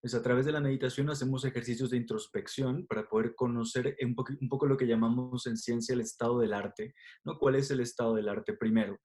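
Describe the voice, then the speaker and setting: A man's voice: mid-range voice, steady tone, brisk, hardly any pauses, darkish tone. A man with a Mexican accent answering interview questions as a Buddhist teacher, explaining didactically with rhetorical questions and tags.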